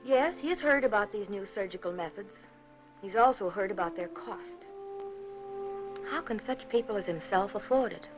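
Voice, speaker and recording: A woman narrates a 1950s documentary in a mid-pitched voice. Her delivery is scripted, earnest and measured, with a rhetorical turn to her phrasing. The old film soundtrack is dull and lo-fi, with music playing behind her. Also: animated. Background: background music.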